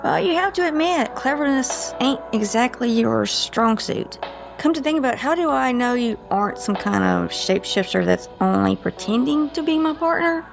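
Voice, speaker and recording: A woman playing a guarded, sarcastic female agent with a creaky voice and a folksy, teasing manner in an audio drama. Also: a little high, lively intonation, normal speed, music in the background. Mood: wry, teasing.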